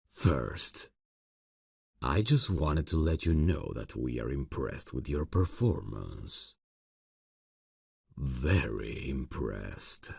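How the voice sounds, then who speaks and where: A man voicing a suave, sinister vampire boss in an ASMR fantasy roleplay, speaking slowly and formally in a gravelly, husky voice with silky, courteous menace. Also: extremely low pitch, darkish tone, no echo, clean studio sound, exaggerated voice. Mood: silky, welcoming. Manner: slow, silky, formal, condescending endearments.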